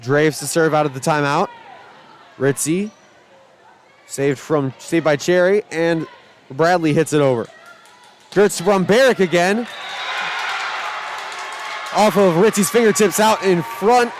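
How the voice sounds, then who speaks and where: A man calling high school volleyball play by play, shouting excitedly over rallies, his voice starting to go. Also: a little slow, long pauses, a crowd in the background, background noise. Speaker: play-by-play announcer.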